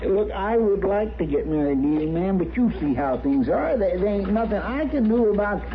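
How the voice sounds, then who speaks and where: High-voiced, stammering, meek frontier helper. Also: male voice, lively intonation, moderate pace, a crowd in the background. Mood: nervous, sheepish. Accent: rural American drawl. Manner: stammering, folksy, deferential. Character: meek, flustered, simple-hearted frontier deputy's helper in trouble. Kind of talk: 1950s radio western acting. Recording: dull, noisy 1950s radio recording.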